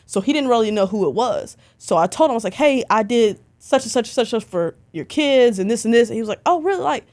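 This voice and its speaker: A woman's voice: on the high side, fast. A young woman in casual podcast conversation, telling stories with lots of "like" and playful bursts of energy. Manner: chatty, animated storytelling with lots of "like". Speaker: podcast guest, concert photographer. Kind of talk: casual interview chat.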